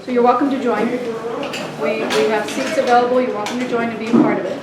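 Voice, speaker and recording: High-voiced placating woman, distant and echoey. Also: level tone, fast talker, a loud crowd. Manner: placating, repeats the same invitation. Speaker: public meeting official greeting visitors. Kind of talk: polite, procedural exchange with a visitor. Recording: echoey, distant mic, clear background noise.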